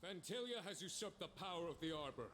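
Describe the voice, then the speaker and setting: A man's voice: a little high, expressive, fast talker, background noise, close to an everyday voice. An actor playing a resolute male warrior general in a video game, giving formal, heroic commands. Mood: commanding. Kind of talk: video game battle acting. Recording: loud music over the voice.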